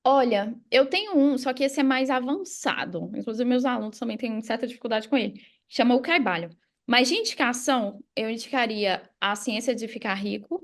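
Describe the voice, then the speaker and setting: A woman's voice: fairly high pitch, fairly quick. A Brazilian woman speaking as a podcast guest and coach, explaining things in a casual conversational way. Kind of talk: casual explanatory interview talk.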